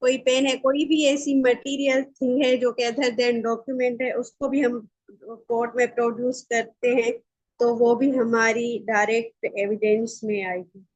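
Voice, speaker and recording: Woman lecturer, slightly high pitch, informal explanatory style, English terms mixed in, frequent checks for understanding. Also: little pitch movement, measured pace, poor audio. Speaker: law lecturer.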